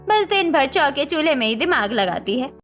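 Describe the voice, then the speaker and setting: A woman's voice: sits high, sing-song, normal speed, fairly bright tone, faint music behind. A woman playing a scornful, nagging older mother-in-law in a cartoon story. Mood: scornful complaining. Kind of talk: Hindi cartoon story dialogue.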